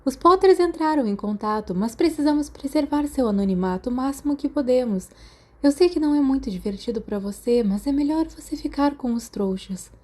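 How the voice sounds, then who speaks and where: Storybook school nurse, voiced by a woman: stern, caring, Brazilian accent, raised pitch, scolds protectively. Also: expressive, a little fast, darkish tone. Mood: firm, caring.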